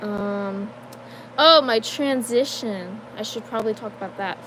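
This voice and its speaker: A young adult woman giving a casual personal life update, halting and full of fillers, with nervous laughs between sentences. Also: on the high side, fairly bright tone, slight background noise, poor audio. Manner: hesitant, halting, many fillers and restarts. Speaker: vlogger.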